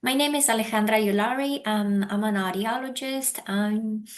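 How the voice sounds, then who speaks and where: A woman's voice: on the high side, normal speed. Ecuadorian woman with a strong spanish accent, in her forties, panelist on a video call, lots of ums. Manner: warm, self-deprecating, many um fillers. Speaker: audiologist panelist.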